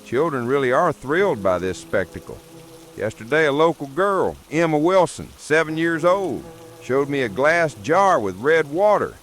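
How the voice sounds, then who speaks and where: An actor playing an earnest, wary middle-aged small-town sheriff, reporting gravely in a scripted radio drama. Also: male voice, on the high side, very animated, normal speed, a bit bright, soft background music. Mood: measured, uneasy.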